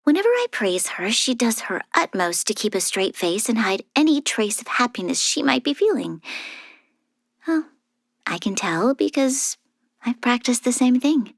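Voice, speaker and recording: A woman voicing a young noble military commander in a video game, formal, dutiful and reflective, speaking in an earnest, measured way with some soft breathiness. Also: fairly high pitch, sing-song, no echo, clean studio sound. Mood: fond, wistful. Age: young adult. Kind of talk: scripted video game character voice lines.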